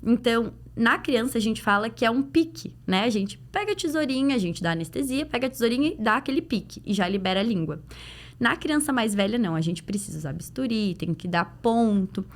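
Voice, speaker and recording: Casting: Brazilian Portuguese-speaking woman, slightly high voice, clinical guest on a podcast, explanatory and conversational. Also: lively intonation, a little fast. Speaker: guest, pediatric dental clinician. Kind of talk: conversational podcast interview answers.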